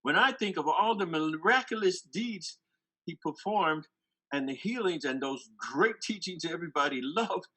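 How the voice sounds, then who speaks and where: A man playing an excitable, incredulous biblical disciple in a church dramatic reading, agitated, stammering and insistent. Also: fairly high pitch, normal speed, poor audio.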